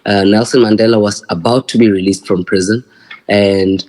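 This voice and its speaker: A man's voice: lowish voice, steady tone, moderate pace, a little mellow. A South African man in his thirties, a guest in a casual interview, friendly and laughing, often repeating words. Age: 30s. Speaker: poet, guest.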